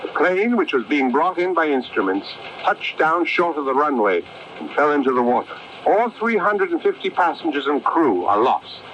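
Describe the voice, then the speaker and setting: Radio bulletin announcer, formal, emphatic, animated pitch, muffled broadcast. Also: male voice, moderate pace. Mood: urgent, formal. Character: urgent male radio newsreader giving a bulletin. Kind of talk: radio news bulletin within a drama. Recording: dull, faintly noisy AM radio recording.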